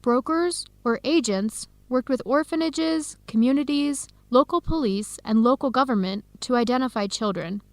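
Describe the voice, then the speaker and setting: An actress playing an earnest young American woman researcher in a radio drama, with clear, expressive, carefully enunciated speech. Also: sits high, moderate pace, hardly any pauses, no echo. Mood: earnest, explanatory. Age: young adult. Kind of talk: scripted radio drama dialogue.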